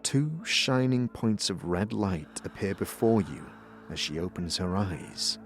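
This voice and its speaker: A man with a lowish voice narrates in the second person, measured and descriptive. His intonation is lively and expressive throughout. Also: soft background music.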